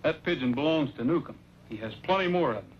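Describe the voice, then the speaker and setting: A man plays a decisive cowboy hero in an old western. He talks briskly in an easy, mid-pitched voice, heard through the dull sound and noise of an aged recording. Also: expressive, close to an everyday voice. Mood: confident planning. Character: confident cowboy hero, plain-spoken, takes charge. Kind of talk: old-time western acting.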